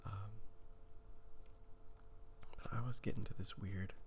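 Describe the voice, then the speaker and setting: A man talking softly in ASMR style, drifting into whispers, rambling casually and haltingly with a self-deprecating manner as he sketches. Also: on the low side, fairly even intonation, slight background noise. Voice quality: hushed, often breaking into whisper, creaky. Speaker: host of a drawing ASMR video. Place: hotel room. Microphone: phone.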